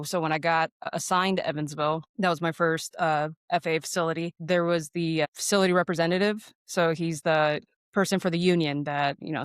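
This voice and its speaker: Clear-voiced American woman, casual and candid. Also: deep voice, dry recording, clean studio sound. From Phoenix, Arizona, United States. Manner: candid, informal, often quotes remembered exchanges. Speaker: interview guest, air traffic controller and former Marine. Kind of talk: casual conversational storytelling.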